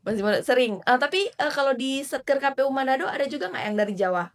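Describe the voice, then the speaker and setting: A woman's voice: high voice, fast talker. A woman hosting a casual interview, chatty, with many fillers and easy laughs.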